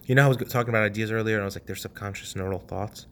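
A young man in his twenties, hosting a podcast and telling a personal story in a casual, animated way, cursing freely and dropping at times to a hush. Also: fairly low pitch, very fast, slight background noise. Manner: animated, swears casually, swings from loud to hushed. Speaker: podcast host.

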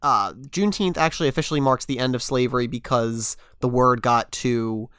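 A man's voice: mid-range voice, normal speed, a bit bright, clean tone, dry recording, clean studio sound. A young adult man co-hosting a casual podcast, a little sick, talking things through earnestly with frequent restarts. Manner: earnest, thinking aloud with restarts. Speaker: podcast host.

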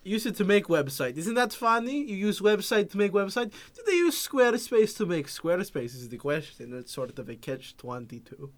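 A man playing a boastful foreign comedian guest on a podcast, speaking broken English with grandiose deadpan self-promotion. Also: sits high, moderate pace, hardly any pauses. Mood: pompous. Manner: broken English, dropping articles. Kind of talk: improvised comedy podcast bit.

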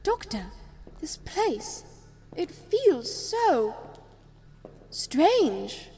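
A woman's voice: high voice, very animated, drawn-out words, warm tone, full character voice. A woman playing a plucky, inquisitive young journalist with a cheeky streak in an audio drama. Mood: uneasy. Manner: inquisitive, teasing, outspoken.

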